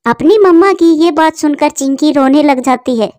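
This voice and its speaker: A woman's voice: high-pitched, moderate pace, darkish tone. A woman reading a scripted children's story as its narrator.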